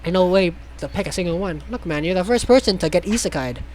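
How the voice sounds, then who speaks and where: High-voiced male reader, hammy and sarcastic, tripping over words, faint noise behind. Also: animated, quick pace, a little mellow. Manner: theatrical, sarcastic asides, stumbles over words. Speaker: host reading a visual novel aloud. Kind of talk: mocking dramatic read-aloud with ad-lib commentary.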